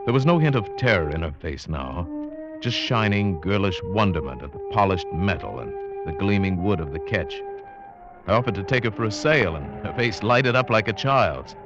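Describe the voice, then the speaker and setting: Brooding low-voiced male radio narrator. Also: lively intonation. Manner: measured, brooding first-person narration. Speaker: narrator and lead. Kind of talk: old-time radio drama narration. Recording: dull old radio recording with music.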